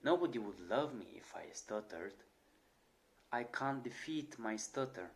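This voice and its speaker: A man's voice: medium pitch, expressive, measured pace, gravelly. A young man talking earnestly to camera, sharing his own experience, with small repetitions and restarts in his speech. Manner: earnest, reflective, with occasional stutter repetitions. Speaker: channel host. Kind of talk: personal talk to camera.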